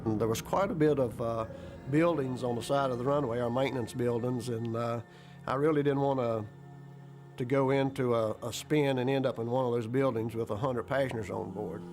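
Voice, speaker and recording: Gravelly male airline captain recalling events. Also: mid-range voice, fairly even intonation, moderate pace. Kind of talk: documentary interview recollection. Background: background music.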